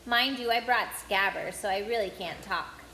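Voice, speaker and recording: A woman's voice: mid-range voice, moderate pace, pushed and bright, roomy sound, a little hiss or hum, poor audio. Woman playing Ron Weasley, blunt boy wizard.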